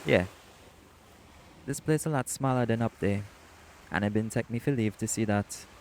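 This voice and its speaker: A man's voice: lowish voice, moderate pace, slight background noise, natural voice. A man playing a teasing, protective shapeshifter boyfriend in a romantic audio roleplay, with a Caribbean accent and emphatic, coaxing Creole speech. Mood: teasing, insistent.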